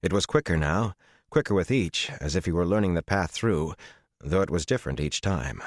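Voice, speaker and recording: Low voiced guy doing dramatic audiobook narration, intense, real dry sound. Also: animated, moderate pace, dry recording.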